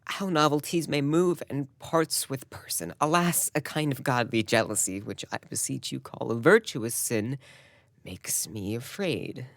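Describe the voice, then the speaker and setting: Young woman acting anguished young male lover, very low pitch, declamatory, earnest, Shakespeare audio drama, close dry sound. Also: animated, unhurried, no room sound, heavily acted. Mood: jealous pleading. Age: young adult. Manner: earnest, declamatory verse delivery.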